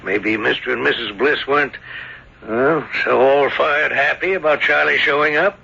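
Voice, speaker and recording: Grumbling rustic farmer, gravelly, sharp-edged, husky, gossipy, muffled vintage radio play. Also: male voice, mid-range voice, moderate pace, exaggerated voice. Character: folksy, grumbling male farmer, father of grown son. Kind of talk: old-time radio drama acting. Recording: dull, muffled old radio recording.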